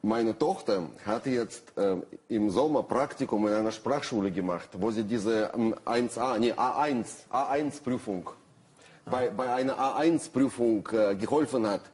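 A man's voice: medium pitch, a little slow, darkish tone, husky. Hesitant man with a Russian accent. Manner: hesitant, many fillers, dry humor. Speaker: guest writer. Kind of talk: talk show conversation. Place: TV studio with audience.

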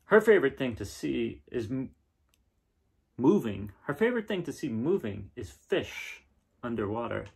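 A man in his thirties reading a picture book aloud in a casual, unhurried way with pauses between phrases. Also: mid-range voice, sing-song, vocal fry, a little mellow, slightly rough. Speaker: reader of children's picture books. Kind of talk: gentle read-aloud storytelling and casual chat. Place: small living room indoors, seen on camera.

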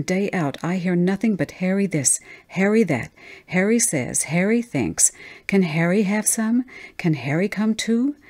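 A woman's voice: fairly low pitch, darkish tone. A woman voicing an anxious, fearful mother in a story, speaking in a brittle, emphatic manner. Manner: tense, emphatic.

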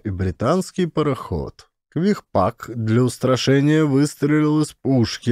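A man's voice: mid-range voice, a little mellow, no room sound, studio quality. A man reading an adventure audiobook as a narrator, with a dramatic storytelling delivery. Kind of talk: expressive scripted audiobook narration.

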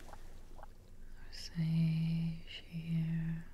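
A young woman playing a gentle, reassuring witch in an ASMR role play, speaking softly and breathily, close to the microphone. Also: deep voice, completely flat delivery. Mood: soothing, calm. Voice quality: soft, breathy, whispery. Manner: slow, reassuring, unhurried. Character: gentle, soothing witch tending a patient.

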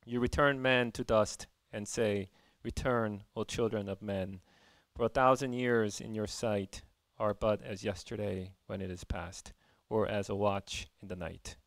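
Low, flat-voiced man reading scripture and praying, dry close sound. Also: creaky, no room sound. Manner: measured, reverent reading. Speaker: church service leader. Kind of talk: call to worship reading and prayer. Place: church.

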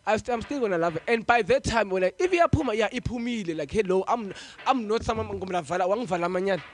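A young man with a high voice chats animatedly on a podcast in a small studio, close to a stand mic. He keeps checking in with his listeners and slips easily between languages. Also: fast talker, a little hiss or hum. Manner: animated, code-switching, keeps asking "you get me?". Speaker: podcast host. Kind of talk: casual chat. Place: small studio room, seated on a couch. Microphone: stand mic in front of the face.

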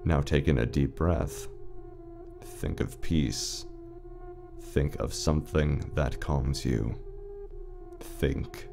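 A man playing an eerily calm, reassuring announcer in a surreal audio drama, measured, soothing and deadpan. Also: a bass voice, vocal fry, exaggerated voice.

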